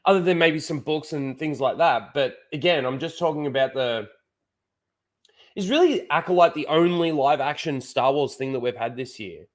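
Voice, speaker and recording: A man's voice: on the high side, animated, normal speed. A man with a head cold and blocked nose, rambling casually to his chat as a livestream host, full of "like" and restarts.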